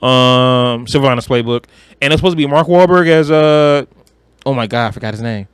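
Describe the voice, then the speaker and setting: A man's voice: medium pitch, normal speed, clear, resonant tone, no room sound. A man co-hosting a podcast, chatting casually about pop culture in a slangy, opinionated way. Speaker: podcast co-host.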